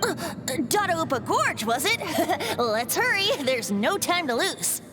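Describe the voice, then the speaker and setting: Breezy young minstrel for a game; lilting, lively, high. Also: female voice, measured pace, full character voice. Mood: cheerful. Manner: lilting, teasing, poetic. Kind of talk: studio video game voice acting. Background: game music.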